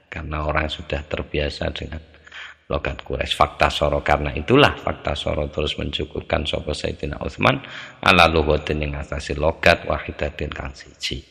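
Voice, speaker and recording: Very deep gravelly man teaching a text. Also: flat delivery, moderate pace, fairly bright tone, in a large hall. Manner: recites Arabic phrases, glosses them in Javanese, then explains in Indonesian. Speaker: teacher of a classical text study. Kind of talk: reading and translating a classical Arabic text word by word, then explaining.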